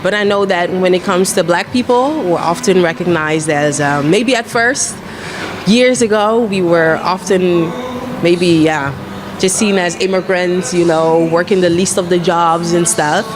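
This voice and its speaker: A young woman from the Netherlands giving measured, explanatory answers as a guest in a casual discussion. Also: lowish voice. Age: young adult. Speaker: guest, singer and presenter. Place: glass-fronted cafe, indoors at a table. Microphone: shared handheld interview microphone. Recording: clear background noise of busy venue.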